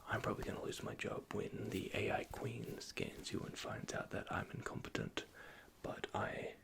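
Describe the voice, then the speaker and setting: A man playing a nervous, caring android repair technician in an ASMR roleplay, speaking in a soft, breathy half-whisper with hesitant stammers. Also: fairly low pitch, level tone, moderate pace. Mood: apologetic, fussing.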